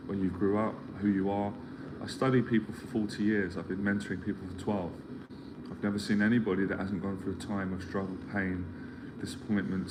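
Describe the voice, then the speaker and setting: A middle-aged man giving a motivational talk as a life mentor, earnest and rambling, often repeating himself as he searches for words. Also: on the low side. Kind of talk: motivational live stream talk. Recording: clear background ambience noise.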